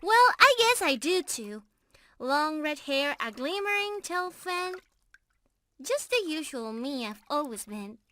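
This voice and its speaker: A young mermaid in love, acted by a woman, speaks in a very high voice at an unhurried pace. She is tender, playful and prone to giggles, addressing her beloved directly in an intimate ASMR roleplay. Her tone is clearly bright and full of affection. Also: big pitch swings, a little hiss or hum, exaggerated voice. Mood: tender joy.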